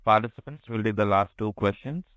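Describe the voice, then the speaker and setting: A man moderating a conference call with brisk, scripted announcements. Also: on the low side, a bit bright, no room sound, muffled recording.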